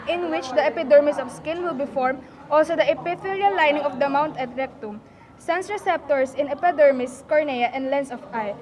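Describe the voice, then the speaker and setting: A woman's voice: sits high, a little mellow, lightly acted. A woman playing a nurse who recites science facts in a scripted puppet show.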